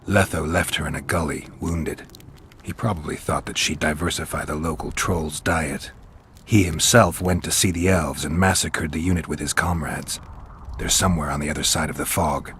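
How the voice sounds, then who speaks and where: An actor playing a gruff, stoic monster hunter in a video game, with a gravelly low voice and a terse, deadpan delivery. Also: male voice, normal speed, a little hiss or hum. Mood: grim, matter-of-fact.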